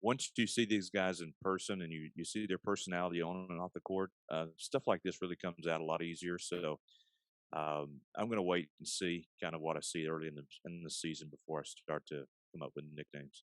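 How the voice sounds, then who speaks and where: Very deep-voiced man, dry sound. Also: steady tone, fairly quick, no echo. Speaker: sports arena announcer being interviewed. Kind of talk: conversational interview answers.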